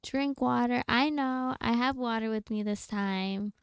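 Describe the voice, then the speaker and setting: A woman with a fairly high voice chats casually to her livestream viewers, taking her time between phrases. Her delivery is playful and slangy, full of teasing banter. The sound is close and dry, with very little room in it. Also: a little slow, clean tone, no room sound. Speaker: video game livestreamer.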